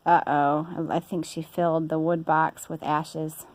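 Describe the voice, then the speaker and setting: A woman's voice: sits low, little pitch movement, unhurried, a little mellow, slight background noise. A woman reading a children's story aloud in an informal way. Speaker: storybook reader.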